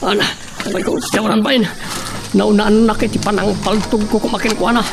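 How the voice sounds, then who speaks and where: An actor playing a vengeful, scheming villain who broods aloud in a melodramatic radio drama. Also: male voice, high-pitched, fairly even intonation, a little fast, vocal fry, low-quality recording. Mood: agitated, hostile. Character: scheming, vengeful male villain plotting murder and takeover.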